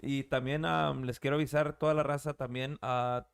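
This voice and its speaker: A relaxed man in his thirties hosts a casual podcast, sliding between English and Spanish. His voice is smooth and resonant, recorded close and dry with no room sound. He swears easily and reads out shout-outs in an easygoing way. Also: mid-range voice, fairly quick, dry recording. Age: 30s. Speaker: podcast host.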